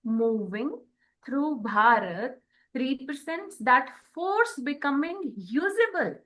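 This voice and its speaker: A woman in reflective spiritual conversation, speaking in short phrases with frequent pauses. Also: high-pitched, lively intonation, fairly bright tone, rough recording. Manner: halting, pausing between short phrases. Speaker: podcast co-host.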